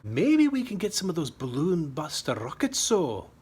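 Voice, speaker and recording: A man's voice: fairly high pitch, huge pitch swings, normal speed, darkish tone, breathy edge, pitched above the performer's own voice, exaggerated voice. A man voicing a small, skeptical WWI pilot in a storybook reading, with dry, teasing sarcasm. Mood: mildly skeptical.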